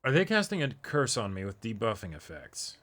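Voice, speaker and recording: Guy doing a casual comic read-along, kinda low but bright voice, reads lines out and comments. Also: huge pitch swings, brisk.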